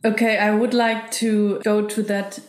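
A woman's voice: mid-range voice, little pitch movement, a little slow. A woman interviewing an actor, affirming and laughing lightly as she talks about casting. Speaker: interviewer, former casting director. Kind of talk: conversational interview. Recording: roomy echo.

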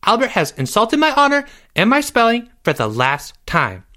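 A man's voice: very high voice, wildly swooping pitch, moderate pace, sharp tone, voice pushed up, exaggerated voice. A man voicing a dramatic, emotional schoolboy who stammers and pleads in a storybook reading. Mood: indignant boasting.

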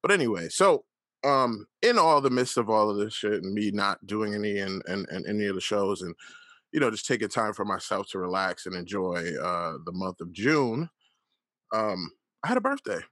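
A man's voice: fairly low pitch, expressive, moderate pace, fairly bright tone, no room sound. A man in his late thirties hosting a solo podcast, talking candidly and telling stories with plenty of fillers and swearing. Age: 30s. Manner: candid, profane, storytelling with lots of fillers. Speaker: podcast host. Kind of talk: casual solo monologue.

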